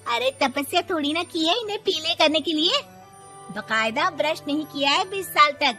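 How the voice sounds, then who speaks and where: A brash, unbothered young daughter-in-law from a family cartoon, acted by a woman. Her voice is high and she answers back with indignant sarcasm, over clearly audible music. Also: expressive, moderate pace, sharp tone. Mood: indignant, sarcastic. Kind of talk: Hindi cartoon story dialogue.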